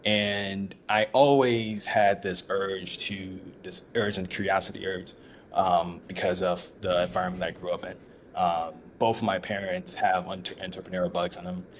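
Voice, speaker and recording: A man from Ghana speaks as a founder in a relaxed interview. His voice sits very low. He pauses, repeats himself and restarts often, with a faint hiss of noise behind him. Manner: halting, many restarts and fillers. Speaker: startup founder, guest. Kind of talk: casual interview talk.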